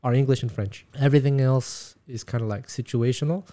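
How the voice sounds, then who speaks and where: A man from Canada, a native Taiwanese speaker, talking casually as an interview guest and softly swallowing some syllables. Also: medium pitch. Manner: fast, soft-ending syllables that get swallowed. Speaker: guest, musician and teacher.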